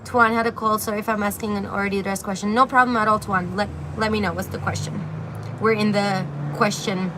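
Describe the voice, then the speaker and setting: A young woman from Syria talks into her phone on an outdoor balcony, answering viewer questions in a relaxed, chatty ramble full of fillers. Her voice sits at an ordinary middle pitch. Faint traffic hum drifts in behind her. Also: a little fast. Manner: chatty, reads viewer comments aloud, many fillers. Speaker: livestream host. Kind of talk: casual livestream Q&A. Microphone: phone camera at arm's length.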